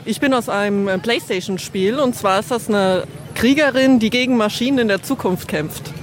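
A woman's voice: fairly high pitch, moderate pace, slight background noise. A woman cosplayer giving short, matter-of-fact answers in an interview outdoors at a convention.

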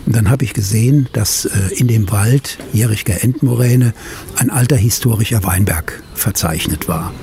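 Older Rhineland man, halting and gravelly. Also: fairly low pitch, noisy background. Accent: Rhineland German. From Middle Rhine, Germany. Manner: halting, frequent filler sounds. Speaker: winery owner. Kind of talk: hesitant interview answers. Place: outdoors at a vineyard.

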